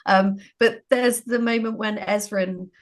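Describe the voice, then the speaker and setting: A woman's voice: mid-range voice, fairly even intonation, measured pace. A woman talking casually in an interview as a writer and co-host.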